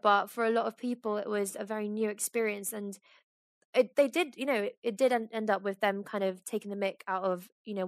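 A woman from Kenya co-hosting a podcast, chatting in an animated, storytelling way with lots of fillers. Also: mid-range voice, vocal fry, dry recording, clean studio sound. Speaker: podcast co-host. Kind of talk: casual conversation.

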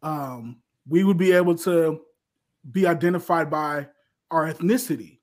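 A man's voice: on the high side, unhurried. An American man talking casually as a guest, repeating phrases as he thinks aloud. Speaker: guest on a livestream. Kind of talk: casual, conversational reflection.

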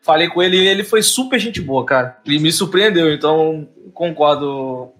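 Male co-host, slightly high pitch, animated and casual. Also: normal speed. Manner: lively, acts out quoted small talk with slang. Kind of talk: casual podcast chat.

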